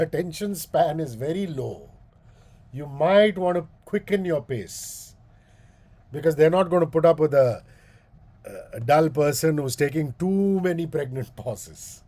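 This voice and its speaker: Slow, booming man with a deep, mellow tone. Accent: Indian English. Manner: slow, deliberate delivery with long pauses and repeated demonstrations. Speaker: guest speaker. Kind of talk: public speaking workshop talk.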